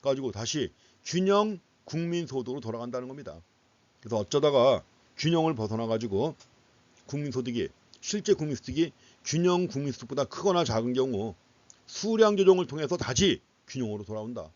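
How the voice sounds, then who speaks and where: A man's voice: mid-range voice, animated, fairly quick, a little mellow, no room sound. A man giving an explanatory economics lecture in a didactic way, putting questions to students and repeating key phrases for emphasis. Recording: dull, lo-fi lecture audio.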